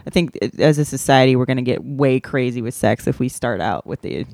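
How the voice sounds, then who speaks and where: A woman's voice: extremely low pitch, lively intonation, brisk, darkish tone, dry recording. A woman comedian, a guest on a podcast, talking frankly and bluntly about sex and laughing often in casual chat.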